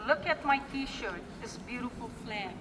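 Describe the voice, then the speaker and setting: Woman, rally speech, slightly high voice, emphatic, distant from mic, long reverb, loud background noise. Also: expressive, unhurried. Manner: emphatic, repetitive rallying lines. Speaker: rally speaker. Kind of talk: prepared political rally speech. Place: outdoor rally at a state house. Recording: distant echoey outdoor PA recording.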